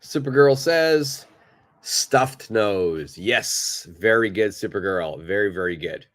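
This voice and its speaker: A man teaching English in a live online lesson, who speaks slowly and deliberately, repeats key words for his learners, and has a stuffed-up nose. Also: fairly low pitch, animated.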